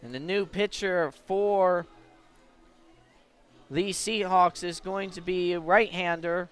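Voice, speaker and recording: A man working as a play-by-play announcer on a live radio baseball broadcast, with measured calls that turn emphatic on the action. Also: high voice, fairly even intonation, a bit bright, smooth. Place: at a baseball game.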